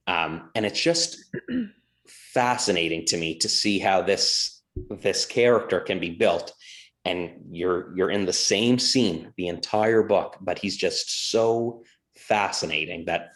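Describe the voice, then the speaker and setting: Low-voiced man, thoughtful and hesitant. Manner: thoughtful, hesitant, with many restarts and ums. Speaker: co-host. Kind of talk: casual morning show chat.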